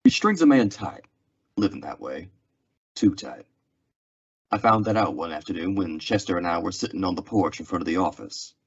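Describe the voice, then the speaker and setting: A man's voice: low voice, expressive, normal speed, creaky, breathy edge, full character voice. A man acting a weary, stoic frontier marshal in an old-time radio western drama. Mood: tense, weary. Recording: dull, lo-fi audio.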